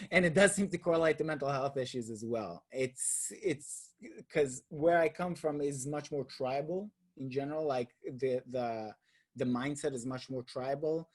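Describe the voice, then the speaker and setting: A man's voice: mid-range voice, darkish tone. A man from Israel with an Israeli accent, hosting a roundtable discussion in a thoughtful, hesitant way, repeating words as he searches for them. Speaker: roundtable host. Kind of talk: casual discussion.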